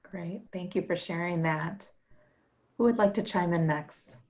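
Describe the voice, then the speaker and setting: A woman acts as moderator of a panel. Her voice is a little low, and she speaks in short prompts and questions. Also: a little slow, a little mellow.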